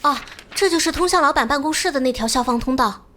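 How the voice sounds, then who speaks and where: A helpful female office worker from an animated crime drama. Her voice is high-pitched. She explains things in a polite, courteous manner. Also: fast talker, a little hiss or hum.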